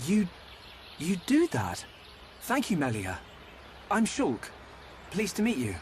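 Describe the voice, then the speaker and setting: A man's voice: sits high, wildly swooping pitch, takes it slowly, a little hiss or hum, heavily acted. A man with a British accent playing a polite, earnest young hero in a video game. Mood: friendly, earnest. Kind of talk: video game cutscene acting.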